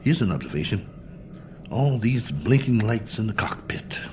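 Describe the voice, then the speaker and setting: An actor playing a lonely, sardonic astronaut colonel musing to himself in a radio drama log. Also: male voice, fairly low pitch, very animated, normal speed, music in the background. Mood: wistful. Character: lonely, wry astronaut colonel alone on a long spaceflight.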